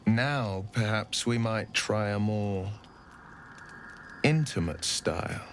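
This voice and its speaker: An actor playing a courtly, romantic young adventurer in a fantasy video game, tender and bashful, with gallant, poetic phrasing. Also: male voice, fairly low pitch, takes it slowly, fairly bright tone, a little hiss or hum. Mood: charmed, tender.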